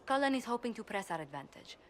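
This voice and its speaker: Polished, firm diplomat, faint ambience. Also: female voice, fairly high pitch, big pitch swings, quick pace, slight background noise. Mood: reassuring. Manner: courteous, formal, firm. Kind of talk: video game cutscene acting.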